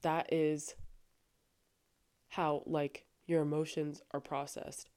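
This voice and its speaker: Woman, young adult, low voice, dark warm tone, earnest casual podcast talk, many fillers. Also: little pitch movement, takes it slowly, clean tone. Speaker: podcast host. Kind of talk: faith podcast monologue. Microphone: studio microphone.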